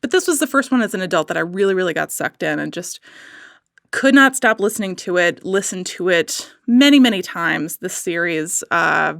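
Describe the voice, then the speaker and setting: A woman chatting casually as a podcast guest, telling animated, digressive stories in an effusive, theatrical manner. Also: fairly low pitch, moderate pace, gravelly, no room sound, studio quality. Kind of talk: casual chat, animated storytelling.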